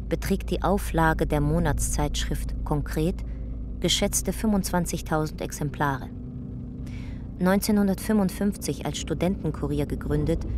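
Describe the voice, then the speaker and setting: An actress as a sober, factual narrator reading scripted documentary passages in a radio drama. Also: female voice, sits low, background noise, close to an everyday voice. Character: factual documentary-style narrator of historical facts.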